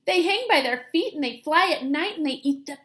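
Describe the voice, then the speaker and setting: A woman voicing a naive, earnest young female bat in a children's storybook, expressive and full of exclamation. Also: high voice, brisk, pitched above the performer's own voice. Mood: excited.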